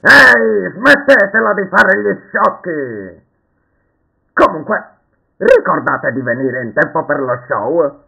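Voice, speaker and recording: A man voicing a swaggering animatronic pirate fox for a children's show, raspy, boisterous and theatrical. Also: sits high, expressive, slow pace, sharp tone, heavily acted, low-quality recording. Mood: swaggering, excited. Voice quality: raspy, gravelly. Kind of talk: children's show mascot performance, fan dub.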